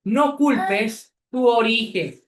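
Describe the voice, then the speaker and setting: Slow, earnest preacher in an echoey church. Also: male voice, extremely high pitch. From Peru. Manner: earnest, exhorting, with deliberate pauses. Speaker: pastor. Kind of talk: sermon.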